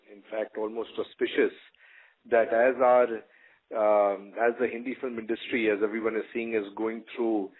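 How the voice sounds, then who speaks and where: A man giving a semi-formal, halting, appreciative address as a company executive. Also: on the low side. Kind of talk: semi-formal thank-you address on a conference call. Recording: narrow tinny phone line.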